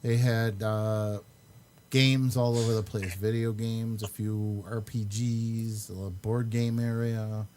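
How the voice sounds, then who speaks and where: Slow-talking man with a mellow, halting delivery. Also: lowish voice, slight background noise. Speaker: podcast host. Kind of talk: casual chat.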